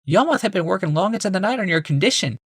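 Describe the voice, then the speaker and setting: A man's voice: animated, quick pace, fairly bright tone, breathy edge, dry recording, studio quality, exaggerated voice. A man voicing a patient but exasperated wife in an audiobook, firm and pleading. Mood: strained patience. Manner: high, pleading, strained patience.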